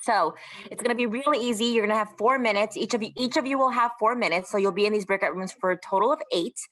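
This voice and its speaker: Young woman, video-call host, upbeat and drawn-out emphasis, casual fillers. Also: mid-range voice, a bit bright, rough recording. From California, USA. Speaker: workshop host. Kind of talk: welcoming webinar host.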